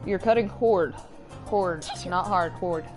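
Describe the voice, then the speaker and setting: Woman hosting a game stream, kinda low voice, chatting while playing, loud music behind. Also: takes it slowly. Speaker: host of a gaming livestream. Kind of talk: casual chat while playing a video game.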